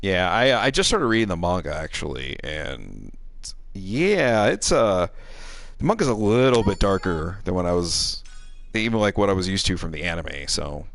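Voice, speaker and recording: Male streamer, fairly deep register, meandering hesitant delivery, offhand conversational tone. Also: big pitch swings, normal speed. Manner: hesitant, meandering, hedging phrases. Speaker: game streamer, co-host. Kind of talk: casual chat while streaming a game.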